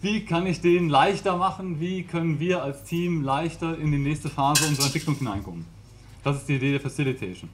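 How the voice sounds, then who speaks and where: A man's voice: fairly high pitch, fairly quick, noisy background. A man in his thirties from the Rhineland, giving a chatty, informal talk to an audience with a handheld mic in a small brick-walled room. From Rhineland, Germany. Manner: chatty, laughs often, gestures.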